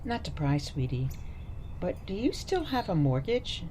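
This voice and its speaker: Radio drama: sweet, oblivious old mum played by a woman, chatty and coaxing, unhurried delivery, highly animated intonation. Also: a little hiss or hum. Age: older. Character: sweet, chatty, meddling elderly mother and grandmother. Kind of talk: radio play acting.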